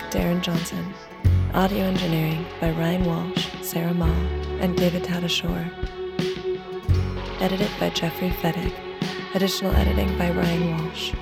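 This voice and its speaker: Female announcer, measured scripted read, lowish and very even, over prominent music. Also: vocal fry. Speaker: credits announcer. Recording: loud music under the voice.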